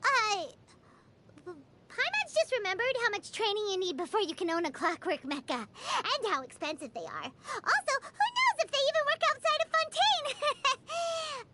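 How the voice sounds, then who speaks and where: A woman voicing a small, childlike, self-important flying fairy companion in a video game, squeaky and exclaiming. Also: bright, forceful tone, a little hiss or hum, exaggerated voice. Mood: boastful, unsure. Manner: refers to self in third person, exclaiming. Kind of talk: scripted video game dialogue. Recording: music behind the voice.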